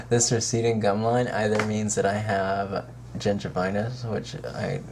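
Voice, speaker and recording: A man's voice: on the low side, level tone, a little slow, noisy background. A teenage boy chatting playfully and jokingly with a friend in a vlog. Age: teens. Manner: playful, jokey, swings from mock voices to whispers. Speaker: co-host friend. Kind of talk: casual chat. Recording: faint room ambience.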